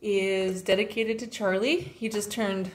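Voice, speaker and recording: A woman's voice: mid-range voice, fairly even intonation, measured pace. A woman casually introducing a children's read-aloud as its host.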